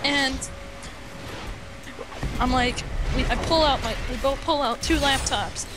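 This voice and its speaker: A woman telling an improvised story in casual chat, halting and rambling, acting out the dialogue as it goes, in a noisy amateur voice chat. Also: high-pitched, over music.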